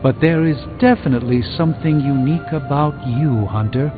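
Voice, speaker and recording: A man's voice: medium pitch, normal speed, natural voice. An actor playing a pompous, refined middle-aged male sorcerer in a video game, speaking formally with dry wit. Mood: courteous, appraising. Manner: pompous, formal, dryly wry, theatrical. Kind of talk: scripted video game dialogue. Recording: thin phone-like band, music behind.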